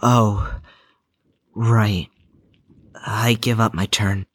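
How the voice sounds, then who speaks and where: A man playing a quiet, glum young patient in an audio drama, husky, hesitant and terse. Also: fairly even intonation, extremely slow, full character voice. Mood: awkward, reluctant. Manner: hesitant, terse, low-key.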